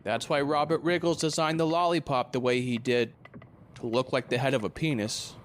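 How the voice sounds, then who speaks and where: A man's voice: medium pitch, steady tone, moderate pace, natural voice. Man playing a glib, needling ex-lover, faint noise. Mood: teasing, mock-earnest. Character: glib, crude, wounded ex-lover, a married man. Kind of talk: conversational stage-play acting.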